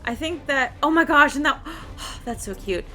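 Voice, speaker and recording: High-voiced woman gamer, excitable. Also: moderate pace. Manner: excitable, gushing. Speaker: gamer, channel host. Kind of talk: casual gameplay commentary, reading quest dialogue aloud.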